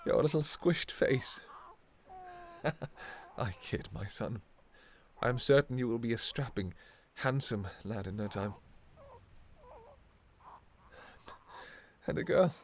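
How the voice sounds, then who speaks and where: A man playing an anguished, devoted vampire king in a fantasy romance audio roleplay, speaking in halting, pleading, formal old-fashioned phrases. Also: medium pitch, expressive, a little hiss or hum. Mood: joyful, tender. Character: anguished, devoted vampire king, obsessive lover and new father.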